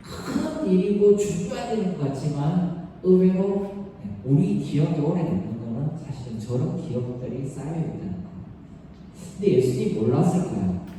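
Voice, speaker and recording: A man's voice: fairly high pitch, very animated, moderate pace, warm tone. A man preaching a conversational, informal sermon as a pastor, blunt and slangy, often asking rhetorical questions. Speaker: pastor preaching to young people. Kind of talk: conversational sermon with acted-out quotes. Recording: echoey hall, distant mic, faint noise.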